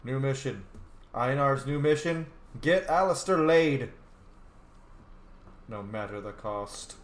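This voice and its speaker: A man's voice: mid-range voice, animated. Playful man commenting on a game, roomy echo. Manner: playful, jokey, quick reactions. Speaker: gamer playing and roleplaying. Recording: echoey room with faint hiss.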